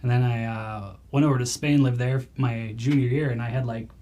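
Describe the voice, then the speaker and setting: A man's voice: mid-range voice, fairly even intonation, a little fast, warm tone, a little hiss or hum. A man in his late thirties, a guitarist guest in an interview, telling his story in an easygoing, modest way with lots of fillers. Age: 30s. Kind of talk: casual interview chat.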